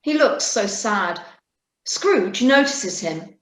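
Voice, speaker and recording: A woman narrating a scripted story in a deliberate storytelling manner. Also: medium pitch, expressive. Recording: roomy echo.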